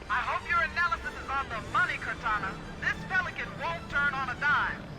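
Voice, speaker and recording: A woman playing a brisk, plucky military dropship pilot through a radio filter in a video game. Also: high voice, animated, music in the background. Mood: wary, wry.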